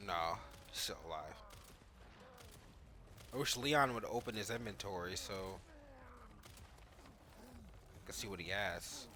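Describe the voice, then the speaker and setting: Male gaming commentator, lowish and bright-edged voice, off-the-cuff and informal, reacting to footage as he thinks aloud. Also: very animated, slow pace, long pauses, a little hiss or hum. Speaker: gaming YouTuber.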